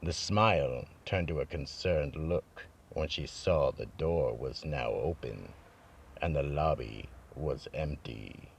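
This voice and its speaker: Man as very deep gravelly mystery narrator. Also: a little hiss or hum, exaggerated voice. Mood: solemn. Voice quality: gravelly, husky. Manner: measured, solemn storytelling. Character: grave male narrator of eerie radio mystery tales. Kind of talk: scripted radio mystery narration.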